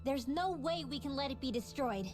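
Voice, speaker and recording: A woman's voice: high voice, lively intonation, normal speed, music in the background. An actress voicing an earnest, thoughtful young woman mage in a video game cutscene, speaking sincerely. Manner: sincere, reflective.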